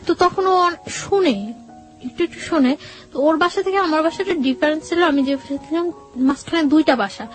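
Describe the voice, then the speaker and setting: High-voiced woman telling her own story. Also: soft background music, rough recording. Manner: conversational, halting, quotes dialogue as she goes. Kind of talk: personal storytelling in an interview.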